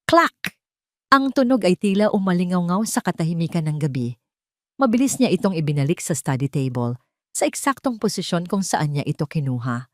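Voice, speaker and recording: A woman reading a dramatic scripted story in a deliberate, suspenseful way. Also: fairly low pitch, big pitch swings, no echo, studio quality. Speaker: audio story narrator.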